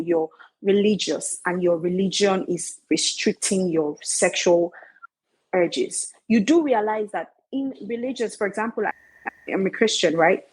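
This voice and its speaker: Animated husky Nigerian woman. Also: medium pitch, rough recording. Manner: lively, playful, emphatic. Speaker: author guest. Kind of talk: animated podcast interview.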